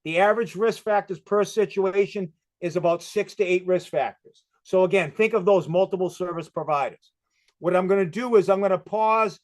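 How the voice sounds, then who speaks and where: A man with a Boston accent giving a brisk presentation. He walks through the steps with quick questions and answers. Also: high-pitched. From Massachusetts. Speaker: presenter on community crisis programs. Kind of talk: fast presentation talk.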